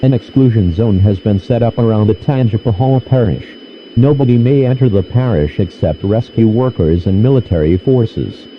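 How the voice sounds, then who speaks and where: A man's voice: lowish voice, moderate pace. A man's stiff, synthetic voice reading a formal scripted emergency announcement over a lo-fi, noisy radio broadcast. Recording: dull lo-fi radio with clear noise.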